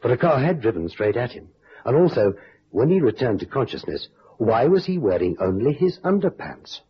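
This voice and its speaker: An actor playing the wry storyteller of an old-time detective radio drama, narrating with dry, dramatic timing. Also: male voice, mid-range voice, sing-song, normal speed, husky. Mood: dry suspense. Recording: dull vintage radio recording, faint hiss.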